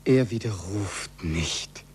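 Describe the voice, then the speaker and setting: An actor playing a grave, anxious follower, speaking with measured delivery in a 1940s German radio play. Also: male voice, low voice, expressive, darkish tone. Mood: tense hope. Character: anxious, grave follower awaiting bad news. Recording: 1940s radio recording, faint noise.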